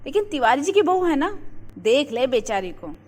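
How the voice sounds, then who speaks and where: A woman's voice: sits high, sing-song, moderate pace, darkish tone. A woman voicing a gushing, gossipy neighbourhood housewife in a moral-story cartoon, recorded with echoing reverb. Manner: effusive, gossipy. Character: gushing, flattering neighbourhood housewife, friend of the mother-in-law.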